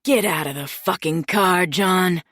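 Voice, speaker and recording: A woman putting on a calm, menacing crime boss, a man who talks low and slow and sometimes drops to a whisper. The voice is dry and close, with no room around it. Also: dry recording.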